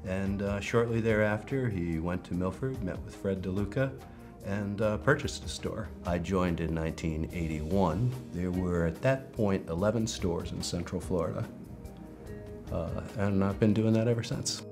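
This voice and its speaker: A man's voice: low voice, moderate pace. A middle-aged man speaking as a franchise owner in an interview testimonial. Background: music.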